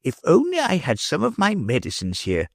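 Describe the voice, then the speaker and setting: A woman's voice: on the low side, huge pitch swings, moderate pace, vocal fry, darkish tone, no echo, clean studio sound, voice pushed up, full character voice. A woman reading an audiobook, voicing a fussy, self-important court physician. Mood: fretful. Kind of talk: audiobook character voice.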